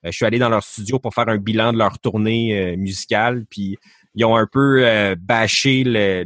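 A man's voice: on the low side, dry recording, studio quality. A man, a podcast guest talking casually and hesitantly, with many fillers and restarts. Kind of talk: casual interview talk.

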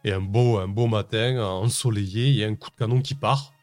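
A man with a fairly low voice narrates a tabletop roleplaying session as game master. He improvises haltingly, repeating words as he searches for the next one, with music playing underneath. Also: fairly even intonation, no room sound.

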